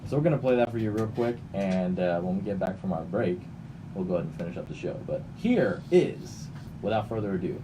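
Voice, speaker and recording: A man's voice: low voice, expressive, fairly quick. A man hosting a casual radio show with jokey, playful banter. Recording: distant mic, clearly noisy background.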